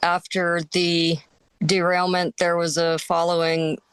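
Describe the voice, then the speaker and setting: Woman, American accent, lowish even voice, dry recording, resident's testimony. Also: slow, clear, resonant tone, no echo, rough recording. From Pennsylvania, United States. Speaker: affected resident, interview guest. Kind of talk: spoken personal testimony.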